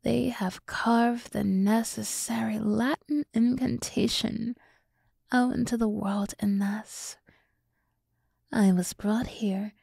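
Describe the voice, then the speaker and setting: A woman playing a sultry, teasing demoness in an ASMR roleplay, speaking in a soft half-whisper with slow, condescendingly soothing deliberation, close to the microphone. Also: on the high side, steady tone, creaky, husky, dry recording, heavily acted. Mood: mock pity. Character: sultry, teasing demoness summoned to serve a human.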